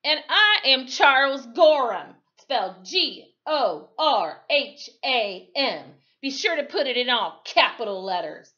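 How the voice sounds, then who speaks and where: A woman voicing a defiant, triumphant townsman in a storybook, with loud, drawn-out, taunting emphasis. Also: high-pitched, very animated, voice pushed up, exaggerated voice. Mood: triumphant, mocking. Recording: dull, lo-fi sound.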